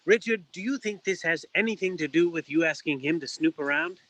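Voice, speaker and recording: Man playing a high-voiced, dryly polite gentleman bartender. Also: fairly quick. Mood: dry, concerned. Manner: formal, dry, old-fashioned politeness. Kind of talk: noir stage play reading.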